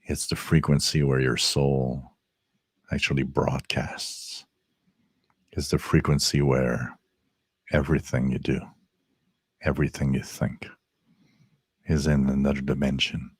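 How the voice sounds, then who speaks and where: A man putting on a spooky voice from beyond the grave, in a slow, ominous drawl. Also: a bass voice, little pitch movement, breathy edge, dry recording, pitched below the performer's own voice, exaggerated voice.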